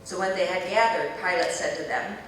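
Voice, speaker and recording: A woman reading scripture aloud as a dramatic narrator, in a measured, declaiming way. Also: lowish voice, fairly bright tone. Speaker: scripture reader. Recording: echoey church hall, distant mic, faint noise.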